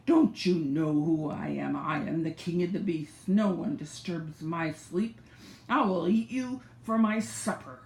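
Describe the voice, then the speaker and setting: A grandmother voicing a huge, grumpy male lion who speaks in a lowered, gruff voice. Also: unhurried, a little mellow. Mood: angry. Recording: echoey room, faint background noise.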